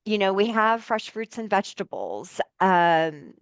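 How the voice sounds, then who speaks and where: A woman's voice: medium pitch, big pitch swings, a little slow, smooth, no echo. A woman therapist from the United States talking casually in an interview, rambling with many fillers and restarts. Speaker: licensed therapist guest.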